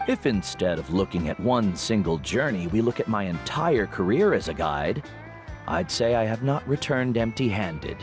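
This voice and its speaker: An actor playing a veteran guide and teacher who speaks in a measured, reflective way in game dialogue. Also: male voice, on the low side. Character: older male guide mentor, calm and wise. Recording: game audio with faint music.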